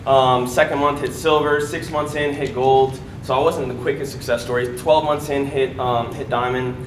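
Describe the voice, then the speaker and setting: A man's voice: medium pitch, steady tone, moderate pace. A young American man giving a casual motivational testimonial to an audience at a sales event, with lots of fillers and "right?" tags. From United States. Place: large event room before an audience. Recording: echoey room with background noise.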